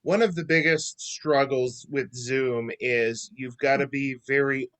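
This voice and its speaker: A man's voice: mid-range voice, fairly even intonation, a little slow, a bit bright. A man, an actor, answering questions conversationally as a guest on a video call.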